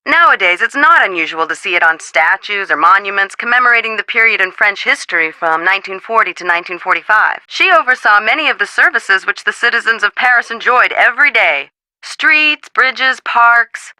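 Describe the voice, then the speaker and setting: A woman's voice: mid-range voice, lively intonation, fairly quick, sharp tone, dry recording, rough recording. A woman playing an articulate, earnest historian in a video game, explaining things formally and precisely. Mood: earnest, informative.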